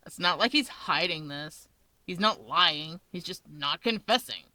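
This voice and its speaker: A woman reading a story aloud in an expressive narrating style. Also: fairly low pitch, a little slow, no room sound. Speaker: fan fiction reader.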